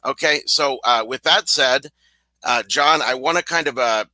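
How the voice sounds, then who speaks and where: A man's voice: medium pitch, measured pace, sharp tone, no room sound. A man hosting a live interview show, upbeat and encouraging, a voice people call a radio voice. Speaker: show host.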